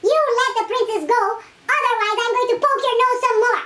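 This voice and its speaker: A man's voice: extremely high pitch, fairly even intonation, pushed and bright, voice pushed up. A woman voicing a tiny, brave boy hero in a children's story, in an echoey room. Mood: determined. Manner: quick, determined.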